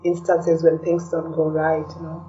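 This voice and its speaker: A woman's voice: low voice, completely flat delivery, normal speed, dark tone, recorded from a distance, lo-fi sound, slight background noise. A woman from Kenya talking reflectively as an interview guest, in casual conversation, often tagging her sentences with "you know".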